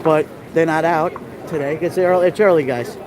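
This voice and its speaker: A man's voice: fairly high pitch, moderate pace, crowd noise. A middle-aged man with a New York accent, hosting a casual walking tour on a live stream. He chats loosely and greets his viewers by name. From New York City. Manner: chatty, greets viewers by name, rambling asides. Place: outdoor city street during a festival, raining. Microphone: handheld phone camera. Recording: street noise around a phone mic.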